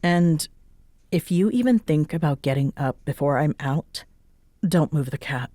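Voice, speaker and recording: Roleplay audio drama: prickly young female roommate played by a woman, unhurried, halting, defensive delivery with a soft side, very dry recording. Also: low voice, lively intonation, dry recording. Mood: stern, guarded.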